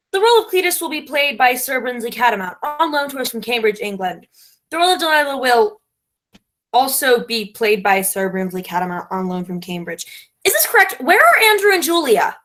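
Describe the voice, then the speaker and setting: Actress as self-styled genius director: high, swooping delivery, grandiose and imperious, stage comedy. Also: female voice, big pitch swings, moderate pace, pushed and bright. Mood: exasperated, demanding. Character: pompous, bossy, self-styled genius female radio playwright. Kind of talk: theatrical stage comedy acting.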